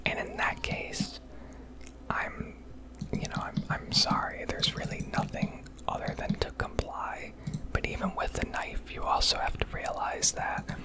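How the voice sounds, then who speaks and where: An American woman rambling candidly and casually in a breathy ASMR whisper, swearing now and then, recorded on a close microphone. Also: a little slow, poor audio. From United States. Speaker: ASMR video creator.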